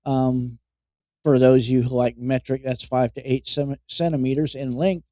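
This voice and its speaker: A man hosting a podcast, giving mocking news commentary in a halting way and chuckling at his own jokes. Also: medium pitch, little pitch movement, dark tone, no echo. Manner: halting, repeats words as he goes. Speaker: podcast host.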